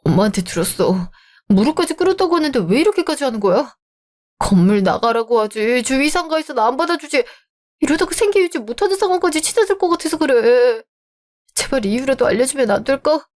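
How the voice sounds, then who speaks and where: A flustered young man, voiced by a woman in a radio drama, speaking in a lowered voice. He pleads and apologizes anxiously. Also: animated, brisk, a little mellow. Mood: pleading.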